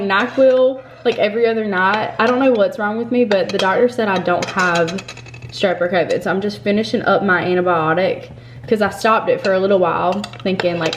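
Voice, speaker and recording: A woman's voice: medium pitch, slight background noise. A young woman, talking casually in a home vlog, a little stuffy from a cold, rambling and upbeat. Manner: rambling, upbeat, filler-heavy. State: congested from a cold. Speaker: vlogger. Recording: roomy home echo.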